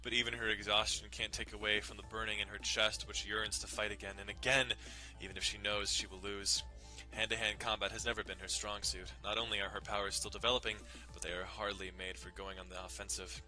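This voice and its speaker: A man's voice: lowish voice, flat delivery, fairly quick, hardly any pauses, pushed and bright, soft background music, rough recording. A man giving a dramatic scripted narration of a visual novel. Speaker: narrator doing dramatic readings.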